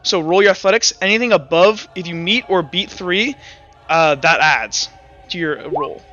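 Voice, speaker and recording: High-voiced man running a tabletop game. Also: expressive, sharp tone, lo-fi sound, faint music behind. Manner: halting, self-correcting. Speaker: game master. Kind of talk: improvised tabletop game narration and rules talk.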